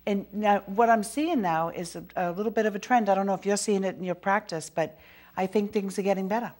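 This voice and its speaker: A chatty middle-aged woman with a Boston accent hosts a local interview show. She talks fast and restarts her sentences often, at a medium pitch for a woman. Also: a little mellow. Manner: chatty, quick-talking, many restarts. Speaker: talk show host.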